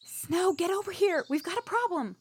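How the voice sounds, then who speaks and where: A high, very animated voice acted by a woman for a hot-headed female rebel guard in a fantasy audio drama. She is blunt, scornful and combative, suspicious of strangers and fiercely protective. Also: moderate pace. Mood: alarmed, demanding. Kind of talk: fantasy audio roleplay acting.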